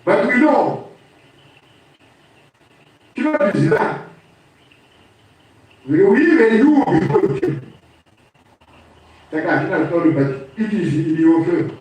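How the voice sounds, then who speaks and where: High-pitched Zimbabwean man in an echoey room. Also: lively intonation, slow, pauses often, darkish tone. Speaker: political speaker. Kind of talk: impromptu political address, mixing two languages. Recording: very echoey room, distant microphone.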